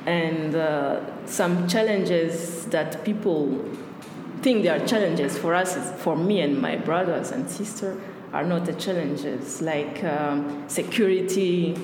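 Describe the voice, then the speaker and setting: A woman's voice: low-pitched, slow pace. A woman whose first language is Russian, from Rwanda, answering interview questions hesitantly as a podcast guest and often searching for words. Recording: echoey hall with loud background noise.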